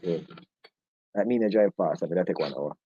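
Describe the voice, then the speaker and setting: Host, male, Jamaican accent, joking live-stream talk, husky mellow tone, dull lo-fi audio. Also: deep voice, normal speed, no echo. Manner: playful, joking, laughs often. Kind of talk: casual live-stream chat. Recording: dull lo-fi sound.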